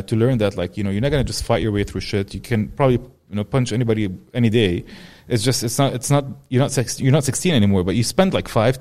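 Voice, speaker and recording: Fast-talking young man hosting a podcast. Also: mid-range voice, warm tone. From Jordan. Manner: fast, rambling, swears freely. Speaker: podcast host. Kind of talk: casual interview chat.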